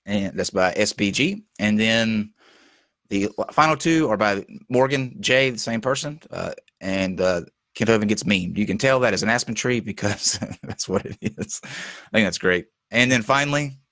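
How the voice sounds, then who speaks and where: Male talk host, lowish, hoarse from losing his voice, halting with fillers, dry close sound. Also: animated, fairly bright tone, no room sound. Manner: halting, many fillers and restarts. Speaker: show host. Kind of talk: casual live-stream talk show.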